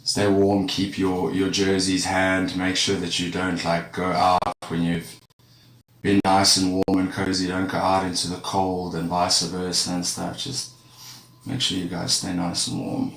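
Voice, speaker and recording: A man casually talking to his live-stream viewers while he draws. He rambles in a relaxed way and sounds a little congested from a cold. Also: sits low, measured pace, creaky. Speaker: art streamer. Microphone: streaming setup with a camera facing him. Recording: echoey room with faint background noise.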